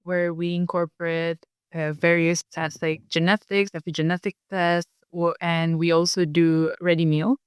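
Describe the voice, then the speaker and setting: A woman's voice: on the low side, moderate pace, few pauses, clear, resonant tone, no echo, studio quality. A young woman from Indonesia in her twenties, a nutritionist chatting casually as a guest and laughing easily. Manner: relaxed, laughs easily, uses lots of "like". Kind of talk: casual interview chat.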